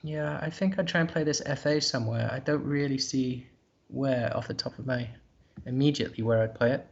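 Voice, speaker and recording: A man's voice: medium pitch, fairly quick, gravelly, lo-fi sound. A man commentating a live board game, thinking aloud in a hesitant, musing way.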